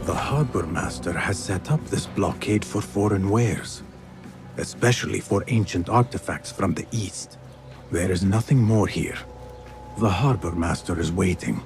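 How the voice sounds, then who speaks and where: Young male assassin, deep register, measured, earnest, determined, game cutscene, faint music behind. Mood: musing, focused. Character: young male street thief turned assassin, earnest and driven. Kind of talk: video game cutscene acting.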